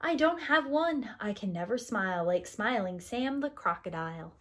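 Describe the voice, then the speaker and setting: A woman's voice: medium pitch, lively intonation, moderate pace, lightly acted. A woman voicing a sad, toothless little male snail from a storybook, in a wistful manner.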